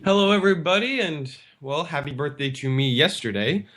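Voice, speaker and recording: A man's voice: fairly high pitch, expressive, brisk, fairly bright tone. A man hosting a radio talk show, asking loose, rambling questions in a casual conversational way. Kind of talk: casual interview chat.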